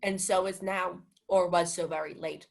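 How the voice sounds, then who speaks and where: A loyal sea captain in a radio Shakespeare play, acted by a woman. The voice is on the low side for a woman, courteous and informative, delivering old-fashioned lines as a helpful guide. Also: steady tone, moderate pace, creaky. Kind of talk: student Shakespeare radio acting.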